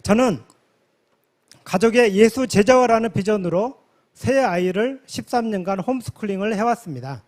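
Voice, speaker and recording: High-pitched man giving a formal testimony. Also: lively intonation, moderate pace, darkish tone. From South Korea, from a village near Yeosu. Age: 40s. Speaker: conference member giving a testimony.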